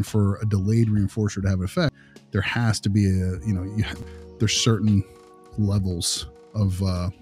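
A man's voice: deep voice, darkish tone. A man explaining things casually as a podcast co-host.

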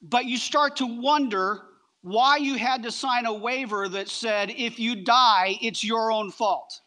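A middle-aged man preaching a sermon on a church stage, emphatic, with deliberate pauses between short phrases. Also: extremely high pitch, bright, forceful tone. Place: large church auditorium, on stage.

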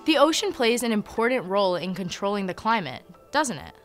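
A woman's voice: mid-range voice, sing-song, fairly quick, faint music behind. A teenage girl reporting in a news studio, reading scripted interview questions.

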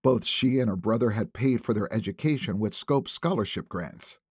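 Guy narrating a thriller audiobook, bit gravelly, really dry sound. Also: on the low side, expressive, normal speed, dry recording. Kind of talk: scripted narration of a thriller novel.

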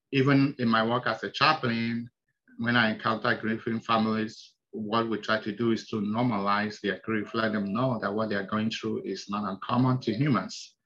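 A man with a Nigerian accent, a hospice chaplain giving a slide presentation over a video call, halting and full of ums. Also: on the low side. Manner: halting, many ums, soft-spoken. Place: small indoor room. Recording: dull lo-fi video call audio.

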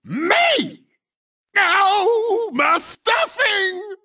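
An actor voicing a brash, boastful male chicken kart racer in a video game, with a cartoonish, squawky, shouty delivery. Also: very high voice, sing-song, extremely slow, recorded from a distance, heavily acted. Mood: cocky. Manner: cocky, boastful, wisecracking. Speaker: game character.

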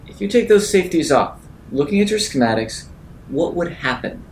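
A man's voice: fairly high pitch, very animated, moderate pace, slight background noise. A man playing a tabletop roleplaying game, improvising in character and talking through moves.

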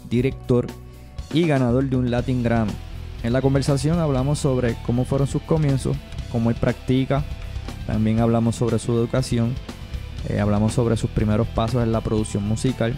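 A man from Puerto Rico hosts an interview podcast from a music studio. He talks into a studio mic, relaxed and slangy. Soft music plays under his voice. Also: medium pitch. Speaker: podcast host. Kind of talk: casual interview chat. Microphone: studio mic with headphones.

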